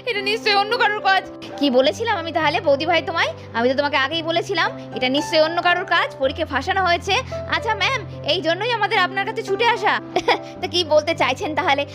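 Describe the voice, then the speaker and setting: A woman narrating a cartoon story and voicing several characters, with sharp scolding lines, over background music. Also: extremely high pitch. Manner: scolding, shifts between narration and character voices. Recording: music underneath.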